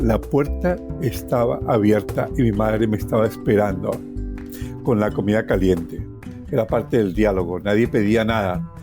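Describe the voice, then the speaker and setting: A middle-aged man with a Colombian accent tells his life story reflectively, repeating words for emphasis and ending phrases with little tag questions. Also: fairly low pitch. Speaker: journalist telling his life story as podcast guest. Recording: soft plucked guitar music behind.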